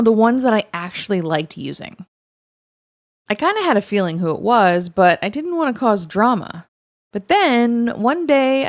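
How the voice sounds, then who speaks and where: High-voiced woman reading confessions aloud. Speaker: story reader, host of a show reading online confessions. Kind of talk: expressive scripted reading of first-person confessions.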